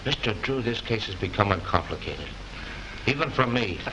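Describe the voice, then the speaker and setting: An actor playing a chatty, slangy, working-class studio gatekeeper who wisecracks in ungrammatical talk, in 1930s film style, with hiss from an old soundtrack. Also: male voice, on the low side, animated, normal speed, close to an everyday voice. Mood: joking.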